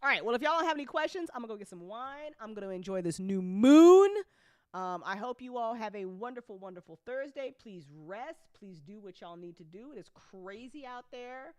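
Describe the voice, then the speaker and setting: A woman hosting a casual livestream chat as an audio drama creator, animated and joking, with informal, sweary talk full of y'all. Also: fairly high pitch, brisk, no echo, clean studio sound. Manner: informal speech with frequent y'all. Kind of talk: casual livestream chat answering audience questions.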